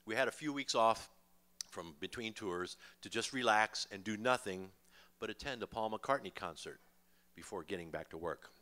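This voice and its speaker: An older man with an American accent reading his memoir live on stage, with expressive storytelling and dramatic pauses. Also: on the low side. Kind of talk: live book reading to an audience. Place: stage in a small dim music venue. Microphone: vocal mic on a boom stand, close.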